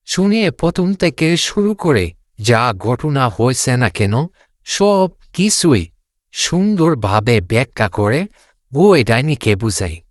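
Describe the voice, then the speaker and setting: Slow, lively-pitched male storyteller. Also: no echo, studio quality. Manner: repeats phrases, simple unpolished storytelling. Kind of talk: fairy-tale narration.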